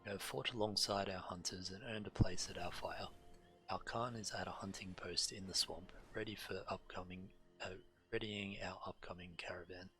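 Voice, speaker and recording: A man's voice: deep voice, steady tone, normal speed, bright, forceful tone. A man streaming a game and reading quest text aloud. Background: game music.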